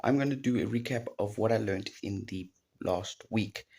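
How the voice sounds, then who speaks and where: Rambling South African man, lowish voice. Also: moderate pace, vocal fry, darkish tone, slightly rough. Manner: rambling, self-correcting, unsure. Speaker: language learner vlogger. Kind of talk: casual recap vlog.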